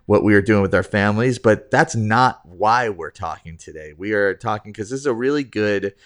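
A man with a fairly low voice hosts a podcast in loose, casual chat. He rambles, restarts his sentences and pokes fun at himself as he goes. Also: normal speed. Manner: rambling, self-deprecating, many restarts. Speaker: podcast host.

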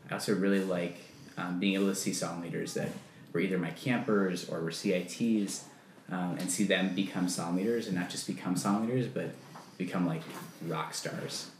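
A man's voice: deep voice, steady tone, normal speed, a little hiss or hum. A man who is a camp music leader, answering interview questions in a chatty way, full of "um" and "like". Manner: chatty, filler-heavy, says "um" and "like" often. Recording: echoey room.

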